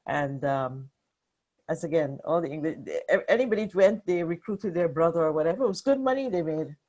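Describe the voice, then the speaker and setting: A woman on a family video call, telling family history in a slow, halting way. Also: low-pitched, lively intonation, darkish tone, lo-fi sound.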